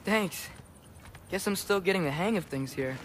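A man's voice: high voice, expressive, normal speed, slight background noise. An actor playing a curious, slightly awkward teenage boy adventurer in a video game, polite and hesitant. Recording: loud game music behind.

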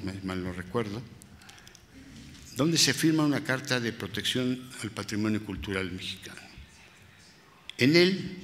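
A man in his eighties, born in Spain, speaking on a panel into a table microphone, reflective and halting, with pauses and self-corrections. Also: mid-range voice, fairly bright tone. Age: 80s. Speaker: restorer and panelist. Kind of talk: panel talk, personal reminiscence. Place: large museum hall with audience. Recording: hall reverb from public-address room.

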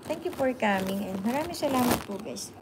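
A woman's voice: fairly high pitch, lively intonation, fast talker, heavy background noise. A woman in her sixties chatting casually on a livestream from her phone, thanking viewers and answering comments while sounding sleepy. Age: 60s. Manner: chatty, mixes languages, repeats thanks to viewers. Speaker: livestream host. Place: indoor room with sofa. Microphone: phone camera at arm's length.

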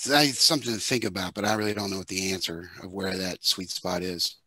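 A middle-aged man talking casually on an online panel through a headset, halting as he thinks aloud. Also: sits low, steady tone, bright, forceful tone, slightly rough, no room sound. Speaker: panelist, audio drama maker. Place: dim room at home, video call. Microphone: headset on a video call.

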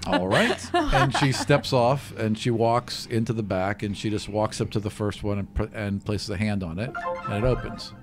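A man's voice: lowish voice, expressive, moderate pace, faint music behind. A man running a tabletop game, narrating the action in a casual, conversational, improvised way. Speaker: game master. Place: small room, players around a table. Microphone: microphone on the table in front of him.

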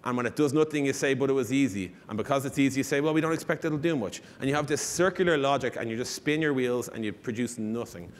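A man's voice: medium pitch, fast, hardly any pauses. A man in his thirties giving an informal, emphatic conference presentation from a stage. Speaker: conference speaker. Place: large conference stage, indoors. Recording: echoey hall reverb.

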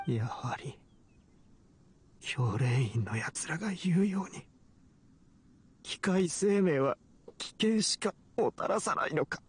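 An actor playing a dying, regretful inventor in a Japanese game, speaking faintly and haltingly in a husky, breathy voice. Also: male voice, on the high side, animated, heavily acted. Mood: weak regret. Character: dying, regretful male inventor, weak and despairing.

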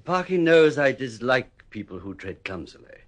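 A sinister, wealthy gentleman in a vintage radio play, with a soft, snaky voice like oiled silk. His middle-pitched speech is polished, polite and quietly condescending. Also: sing-song, moderate pace. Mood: silky. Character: smooth, sinister gentleman racehorse owner. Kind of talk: 1950s radio drama acting.

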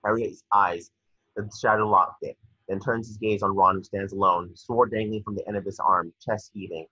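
A man's voice: lowish voice, fairly even intonation, moderate pace, bright, forceful tone, slightly rough, no room sound. A young man reading screenplay stage directions as narrator over a video call. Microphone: computer mic on video call. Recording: dull, dry video-call audio.

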